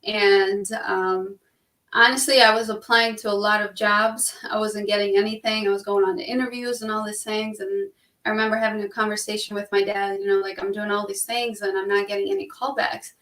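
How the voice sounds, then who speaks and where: A woman's voice: medium pitch, level tone, brisk, a bit bright. A young woman from Albania, a guest on a talk show, chatting in a friendly, easygoing way. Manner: friendly, chatty, laughs easily. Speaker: guest, real estate investor. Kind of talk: casual interview chat. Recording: distant, roomy remote call audio.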